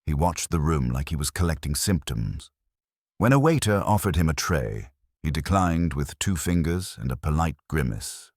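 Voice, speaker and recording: A man's voice: a bass voice, very animated, moderate pace, a little mellow, dry recording, clean studio sound. A man narrating scripted first-person detective fiction in a wry, hardboiled manner. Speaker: audiobook narrator.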